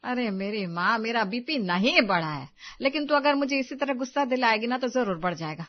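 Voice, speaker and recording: A woman voicing a wry, exasperated but affectionate older mother-in-law in an animated family story, teasing and grumbling. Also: fairly high pitch, moderate pace, highs cut off, lightly acted. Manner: teasing, dry-witted grumbling.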